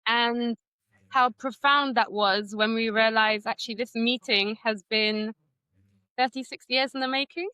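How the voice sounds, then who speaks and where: A woman's voice: fairly high pitch, fairly even intonation, unhurried, bright, forceful tone, clean tone, no room sound. A woman scholar from Trinidad, a guest in a conversation, reflectively recounting a personal story. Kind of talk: conversational interview.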